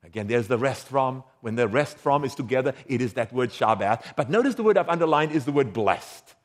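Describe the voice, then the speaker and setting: A man's voice: medium pitch, expressive, fairly quick. A man from South Africa preaching a sermon, quizzing the congregation with questions and chuckling between his points. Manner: engaging, quizzes the audience with questions.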